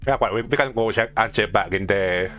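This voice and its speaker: A man giving casual video game commentary as a streamer, haltingly thinking aloud and often repeating himself. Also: on the low side, pushed and bright, faint music behind.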